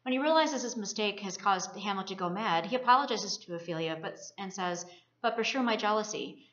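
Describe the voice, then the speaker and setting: Fast-reading woman in a roomy, muffled recording. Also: medium pitch, animated, fairly bright tone. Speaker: college student presenter. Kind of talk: scripted literary discussion, reading aloud.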